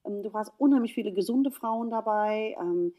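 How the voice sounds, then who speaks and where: A woman from the USA, a gynecologist speaking as a guest in a casual conversation. She is lively, laughs often and talks with many fillers. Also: medium pitch, normal speed. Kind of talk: casual podcast chat.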